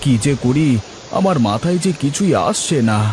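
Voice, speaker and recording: A man's voice: a little high, expressive, moderate pace, background noise, natural voice. A man voicing a plaintive, haggling village man in a colloquial cartoon folk tale. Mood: worried. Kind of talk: acted cartoon dialogue.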